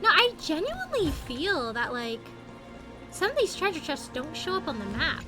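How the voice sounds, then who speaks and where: A woman with a very high voice chats through a live game stream with music behind her. Her pitch swings widely as she thinks aloud, playful and quick to exclaim. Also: big pitch swings. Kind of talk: casual gameplay commentary, chatting to viewers.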